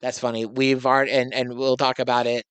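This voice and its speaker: A man's voice: mid-range voice, flat delivery, fast, fairly bright tone, clear, resonant tone, no echo. A man from Tennessee co-hosting a casual movie review podcast, chatty and stumbling over his words. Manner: chatty, restarts often, laughs along. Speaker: podcast co-host.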